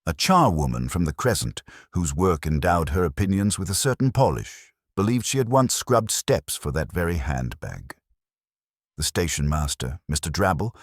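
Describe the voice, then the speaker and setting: A man narrates a literary mystery in a very deep, slightly husky voice. His delivery is measured and wry, with lively movement in pitch and a close, dry sound. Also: dry recording. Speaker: audiobook-style narrator.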